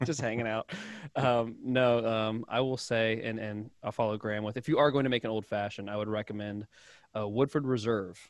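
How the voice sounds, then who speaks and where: A man's voice: fairly low pitch, fairly even intonation, normal speed, no echo. A man from the United States chatting casually on a livestream panel. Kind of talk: casual chat.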